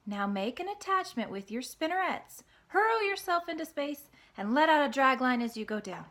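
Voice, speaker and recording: A woman's voice: high-pitched, expressive, moderate pace, sharp tone, pitched above the performer's own voice. A woman voicing a wise, patient female spider in a storybook, speaking in a precise, instructive and encouraging way.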